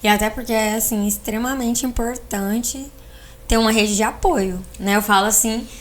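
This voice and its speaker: A woman's voice: on the high side, fairly even intonation, normal speed. A woman with a Brazilian accent, co-hosting a podcast and talking casually as she asks questions and shares her experience. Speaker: podcast co-host interviewing her partner, architect. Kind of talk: casual conversational interviewing.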